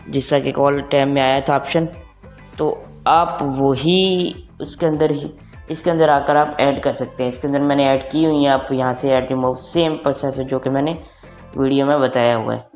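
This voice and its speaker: A young man presents a casual tech tutorial. His voice sits at a fairly high pitch for a man, and he slips English words into his speech. Music plays behind him. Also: a little mellow. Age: young adult. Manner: mixes English words and phrases into talk. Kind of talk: casual spoken tutorial for online viewers. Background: background music.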